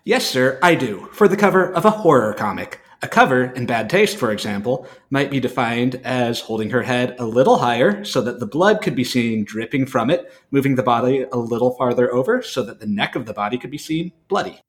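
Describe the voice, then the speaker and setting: Guy doing a calm publisher on the witness stand, mild n matter of fact, medium pitch, amateur dramatic reading. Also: brisk, few pauses, lightly acted. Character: mild, unruffled male comic book publisher testifying at a hearing.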